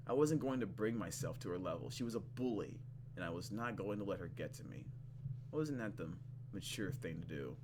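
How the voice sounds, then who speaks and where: A man hosting a gaming video, reading story text aloud with casual, playful commentary and expressive reactions. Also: deep voice, noisy background. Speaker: gaming channel host.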